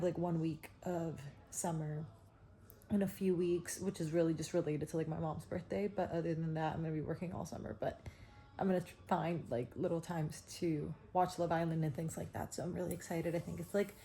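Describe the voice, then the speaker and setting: A woman's voice: sits low, dark tone, roomy sound. A woman in her twenties vlogging casually about her day, rambling with lots of fillers and a bit congested from a cold. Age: 20s. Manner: chatty, rambling with frequent "like" fillers. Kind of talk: casual vlog chat. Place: home and office.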